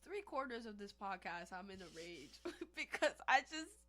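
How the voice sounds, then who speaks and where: A woman's voice: mid-range voice, moderate pace, slight background noise. A young woman from Haiti co-hosting a podcast in casual, emphatic chat, often calling out to listeners. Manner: animated, emphatic, addresses listeners as y'all. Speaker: podcast co-host.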